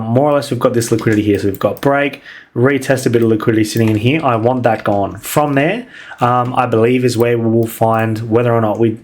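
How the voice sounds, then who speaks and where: A young man losing his voice and sounding croaky, casually walking through a weekly trading outlook with lots of ums. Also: medium pitch. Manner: relaxed, filler-heavy, many ums. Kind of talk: casual market analysis over charts.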